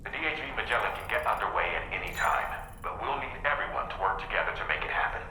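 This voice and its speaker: A very forceful, sharp-voiced ship crewman. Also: low-pitched, lively intonation, quick pace, reverberant, a little hiss or hum, exaggerated voice, rough recording. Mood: businesslike. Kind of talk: video game cutscene acting.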